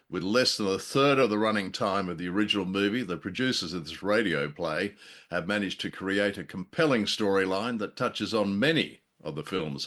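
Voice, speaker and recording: Very low-voiced man in casual chat. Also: fairly bright tone. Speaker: podcast co-host reviewing old radio.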